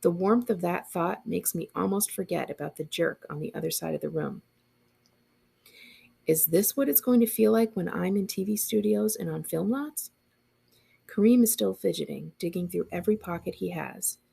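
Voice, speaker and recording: A woman narrates a story aloud with expression. Her voice is very creaky and husky, sitting slightly low. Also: warm tone. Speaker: librarian reading aloud.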